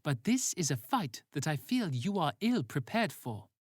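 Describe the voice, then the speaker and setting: Narrator playing a stern, brisk team leader woman. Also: medium pitch, very animated, breathy edge, no echo, clean studio sound. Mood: stern warning. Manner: brisk, blunt, warning. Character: stern, authoritative woman leading a sci-fi team.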